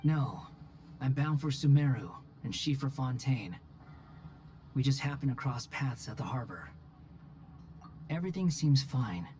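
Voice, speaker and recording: A man's voice: mid-range voice, lively intonation, measured pace, pauses often, warm tone, slight background noise, lightly acted. An actor playing a curt, aloof, cool-headed young male wanderer in a video game, speaking in a dry, matter-of-fact way. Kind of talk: video game character dialogue.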